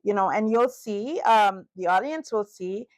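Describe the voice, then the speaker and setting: Trinidadian lady in her middle years, chatty and animated, ordinary mid pitch. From Trinidad and Tobago. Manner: animated, warm, quick asides, often "you know". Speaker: podcast co-host. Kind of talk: casual chat.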